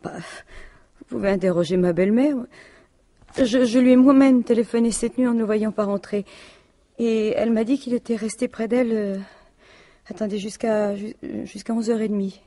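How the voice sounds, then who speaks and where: An actress playing a grieving, plain-spoken widow in a French radio detective drama, speaking haltingly. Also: female voice, on the high side, fairly even intonation, mellow, natural voice. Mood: wary, subdued. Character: grieving, indignant widow of a murdered businessman.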